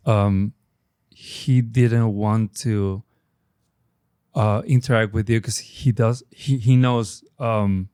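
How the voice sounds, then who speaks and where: Middle aged guy w/ foreign accent hosting a podcast, lowish voice, bit halting, really dry studio sound. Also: steady tone, dark tone, clean tone, no room sound. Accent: non-native English. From Chile. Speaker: podcast host. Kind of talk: casual conversational interview. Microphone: close studio microphone on boom.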